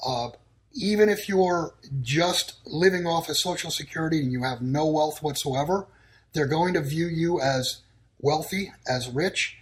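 A man's voice: fairly high pitch, measured pace, low-quality recording. A man speaking as a guest on a talk show, giving blunt, declarative warnings in short, clipped sentences. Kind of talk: casual talk-show answers. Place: in Texas.

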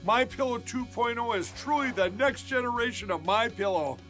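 An upbeat male pitchman with a high voice delivers a scripted ad read. Music plays softly behind him. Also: normal speed. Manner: upbeat salesman.

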